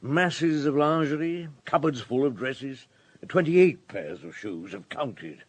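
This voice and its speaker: A police superintendent in a radio drama. He is calm and methodical, with a dry, wry delivery and a medium-pitched voice. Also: no echo. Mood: probing. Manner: measured, wry, murmuring hums. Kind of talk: British radio drama acting.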